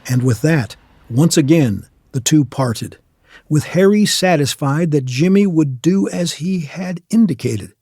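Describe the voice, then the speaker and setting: A man narrating a scripted radio play in a measured storytelling manner. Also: on the high side, animated, dark tone, breathy edge, dry recording, studio quality.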